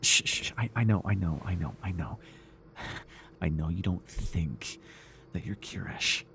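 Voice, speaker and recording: A man playing a devoted, obsessive, fawning cult follower in a theatrical, halting ASMR roleplay. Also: sits low, bright, forceful tone, a little hiss or hum. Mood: reverent, eager. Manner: theatrical, breathy sighs, nervous chuckles, halting. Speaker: voice actor.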